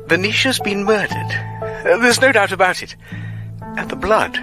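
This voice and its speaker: Music plays behind a distressed British senior lawyer in a radio play. His high voice is halting and shaken. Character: shaken, hesitant male head of barristers' chambers. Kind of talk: British radio drama acting.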